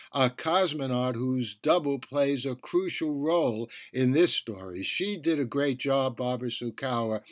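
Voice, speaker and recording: A man hosting a podcast, reading a review in a measured, deliberate manner. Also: medium pitch, no room sound. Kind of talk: scripted review narration.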